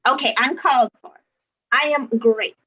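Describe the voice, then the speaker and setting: A woman voicing a pompous, whiny, self-adoring male Tsar in a comic puppet-show skit, with huffy throat-clearing. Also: sits high, normal speed, fairly bright tone. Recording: dull, choppy lo-fi video call audio.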